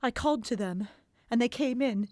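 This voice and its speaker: A woman narrating a gothic novel in the first person, expressive and dramatic, dropping to whispers in the hushed passages. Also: fairly high pitch, moderate pace, dry recording, clean studio sound.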